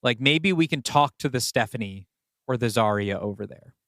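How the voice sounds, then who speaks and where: A man's voice: mid-range voice, lively intonation, moderate pace, a bit bright, dry recording, clean studio sound. A man co-hosting a podcast, casually brainstorming story ideas in a tentative, thinking-aloud way. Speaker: podcast co-host and writer. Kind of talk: casual creative brainstorming talk.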